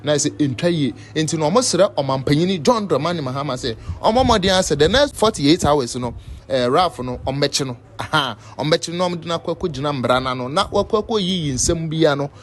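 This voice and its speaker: A man's voice: a little high, lively intonation, moderate pace. A Ghanaian man presenting news commentary informally, repeating phrases and mixing in English words. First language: Twi.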